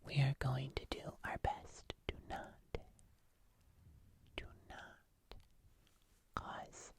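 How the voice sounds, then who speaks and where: A woman voicing a gentle, nervous fuzzy monster in a breathy, hesitant ASMR whisper. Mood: careful, mildly nervous. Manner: slow, soft, hesitant, with small pauses. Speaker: ASMR host.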